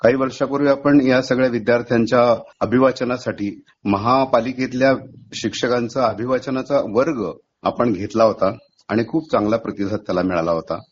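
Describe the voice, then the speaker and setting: A man's voice: fairly low pitch, breathy edge, highs cut off. A man speaking as an event organiser, giving a formal, explanatory spoken report.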